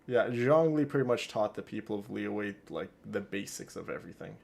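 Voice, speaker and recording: A man livestreaming a game with casual, chatty commentary, often halting and repeating words. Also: fairly low pitch, creaky, darkish tone.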